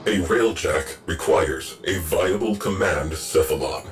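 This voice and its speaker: Low, slow, stern ship AI. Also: male voice, animated, faint music behind. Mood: cold, commanding. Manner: clipped, formal, commanding. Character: stern, weary old war-era ship artificial intelligence, male. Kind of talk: video game voice acting.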